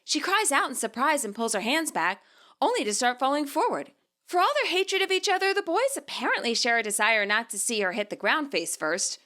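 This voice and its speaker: Bright, animated woman narrating a story. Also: sits high, brisk. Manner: expressive. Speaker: author and narrator.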